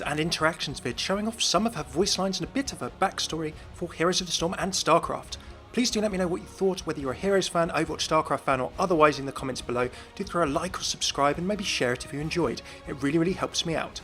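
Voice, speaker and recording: Higher-pitched male explainer narrator. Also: fast, a bit bright, soft background music. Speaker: video host, narrator.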